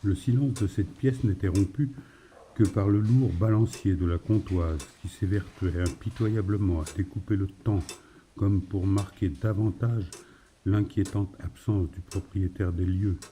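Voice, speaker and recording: Very dark, low-voiced male storyteller. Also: measured pace, a little hiss or hum. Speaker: narrator of a fiction. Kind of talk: scripted literary story narration.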